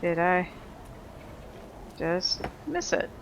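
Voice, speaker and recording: A woman's voice: on the low side, very animated, extremely slow, creaky, noisy background. A woman giving casual, unscripted let's play commentary in a blunt, sweary, opinionated manner. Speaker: gaming video host.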